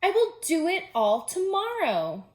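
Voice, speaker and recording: A woman's voice: extremely high pitch, huge pitch swings, a little slow, pitched above the performer's own voice, full character voice. A woman voicing a male toad from a children's storybook, a grumbling homebody who complains in a dramatic, whiny way. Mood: exasperated. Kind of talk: children's storybook character reading.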